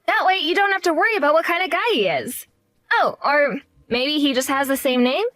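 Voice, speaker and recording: A woman's voice: high-pitched, a little fast, a bit bright, no echo. A woman voicing a cheerful but condescending young bride-to-be in a story drama, speaking in a bubbly, patronizing way.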